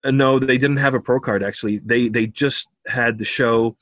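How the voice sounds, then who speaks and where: A man with an American accent, a bodybuilding coach talking as a podcast guest in a relaxed way, laughing often and repeating words. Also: mid-range voice, normal speed, dry recording. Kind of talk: casual podcast interview.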